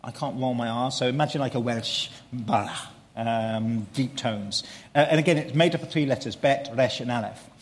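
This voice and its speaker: A man's voice: mid-range voice, moderate pace, in a large hall, slight background noise. A man preaching a sermon, earnest and explanatory, building to fervent emphasis.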